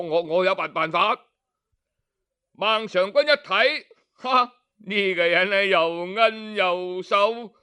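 A man telling a traditional tale as an audiobook storyteller, colloquial and expressive, with rhetorical questions and exclamations. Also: sits high, clear, resonant tone.

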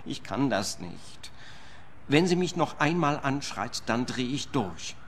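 A man's voice: mid-range voice, big pitch swings, slow, fairly bright tone, exaggerated voice. Radio play: fragile, fretful male client. Middle register, fussy, wounded, self-pitying; light background noise. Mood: overwrought. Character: fragile, fretful male client, pill-dependent house owner. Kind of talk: German-language radio play acting.

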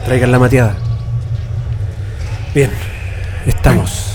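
A man's voice: mid-range voice, steady tone, takes it slowly, lightly acted. An actor playing a businesslike male prisoner who leads secret meetings in a radio drama, commanding in manner. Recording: background ambience noise.

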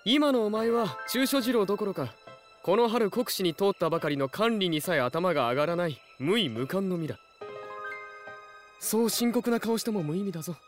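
A man's voice: high voice, animated, moderate pace, soft background music. A man acting in a Japanese audio drama as a composed, formal young emperor who teases dryly and dotes on his wife.

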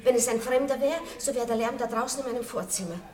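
An actress playing a worldly, aristocratic princess with an ironic, animated way of talking in a 1960s radio play. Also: female voice, fairly high pitch, fast talker, roomy sound, crowd noise, lightly acted. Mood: urgent. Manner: animated, ironic, breaks into laughter. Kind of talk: 1960s radio drama acting.

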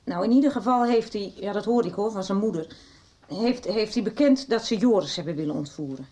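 A woman's voice: medium pitch, brisk, mellow, natural voice. An actress playing a middle-aged mother, fussing and conciliatory, in a radio soap. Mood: explaining. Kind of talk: 1990s radio soap acting.

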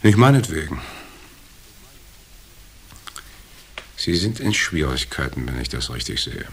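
Very deep-voiced, sardonic hard-boiled man. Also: sing-song, slightly rough, heavily acted. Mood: cool, probing. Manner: sardonic, familiar, unhurried. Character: cool hard-boiled hotel owner, son of a city boss. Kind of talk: noir radio drama acting.

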